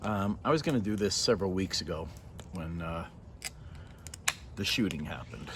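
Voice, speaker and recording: An older man with a fairly low voice talks casually into his camera outdoors at night. He is reflective and sincere, pausing as he finds his words. Some background noise sits behind him. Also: lively intonation, fairly bright tone. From born in Norway, moved to Canada as a child. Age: 50s to 60s. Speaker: vlogger. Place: outdoors by a fire in a yard at night. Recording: noisy outdoor ambience.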